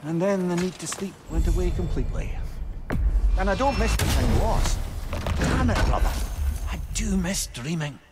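A reflective old Scottish sage, for a video game. He muses with wry eloquence in a somewhat high male voice. Noticeable background noise sits behind him. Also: lively intonation, normal speed. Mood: wistful musing. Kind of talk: dramatic video game acting.

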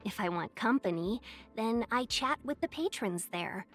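A woman's voice: on the high side, animated, normal speed, fairly bright tone, soft background music. A woman voicing a young fox-eared merchant in a video game, silver-tongued, polite and playfully coy. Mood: chatty, courteous. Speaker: game character voice. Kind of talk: scripted video game character voice lines.

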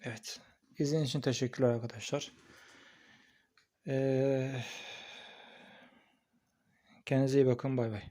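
A man's voice: medium pitch, steady tone, moderate pace, pauses often, mellow. Casual man talking through a gadget review. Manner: informal, thinking aloud. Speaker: product reviewer. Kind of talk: casual hands-on unboxing and installation commentary. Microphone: phone recording.

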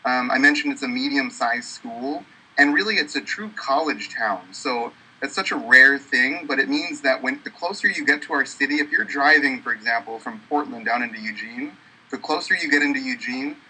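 A man's voice: mid-range voice, moderate pace, sharp tone, breathy edge, rough recording. A man representing a university, talking eagerly with many fillers over a video call from an indoor office. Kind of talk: enthusiastic promotional talk. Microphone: webcam on video call.

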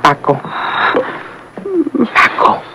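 An actress playing a cold, commanding head nurse with a formal, crisp manner in an old radio drama. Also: female voice, extremely slow. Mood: hushed, menacing. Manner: formal and crisp, then hushed and menacing. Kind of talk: 1950s-style radio drama acting. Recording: noisy old radio recording.

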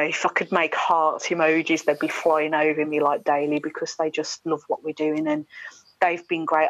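A middle-aged woman, a radio presenter, chatting in an opinionated, emphatic way as an interview guest over a video call. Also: low-pitched. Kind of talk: casual podcast interview chat. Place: small room indoors, video call. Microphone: wired earphone headset. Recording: dull, echoey video-call audio.

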